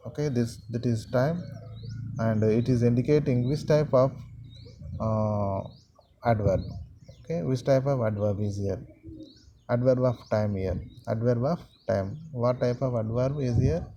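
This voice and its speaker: A man teaching a grammar lesson to students, repeating sentences and pausing often with fillers. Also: medium pitch, mellow, a little hiss or hum. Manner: slow, repetitive, halting with many fillers.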